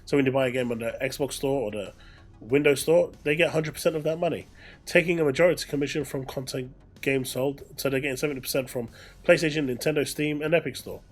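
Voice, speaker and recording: A man with a mid-pitched voice works as a gaming news commentator, talking over faint background music. He moves between reading aloud and casual explanation, restating his points for emphasis. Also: steady tone, fast. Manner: explanatory, repeats phrases for emphasis.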